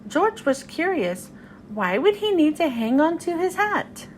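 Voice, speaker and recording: A woman's voice: high voice, big pitch swings, unhurried, slight background noise. A woman hosting a read-aloud and reading a children's storybook to young listeners.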